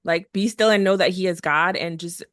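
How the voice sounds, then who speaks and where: A woman hosting a podcast for mothers, her low-ish voice recorded close and dry. She speaks warmly in substance but casually in form, circling back with fillers and restarts as she encourages her guest. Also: normal speed, dry recording. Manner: encouraging, hesitant with many fillers. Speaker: podcast host. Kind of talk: casual faith conversation.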